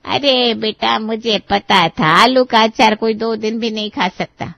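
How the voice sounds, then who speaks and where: A woman voicing a theatrical middle-aged mother who lectures her married daughter, with a gravelly edge, on a dry, muffled studio recording. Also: on the high side, a little slow, pushed and bright, no echo, full character voice. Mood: smug. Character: bride's middle-aged mother, dramatic and scolding.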